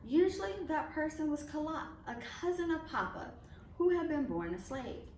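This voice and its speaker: A woman with a high, very lively voice reads a children's storybook aloud. Her delivery is expressive, in the manner of a storyteller. She sounds some distance from the microphone in an echoey room. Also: normal speed. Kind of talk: read-aloud of a children's picture book. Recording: echoey room, distant mic, faint noise.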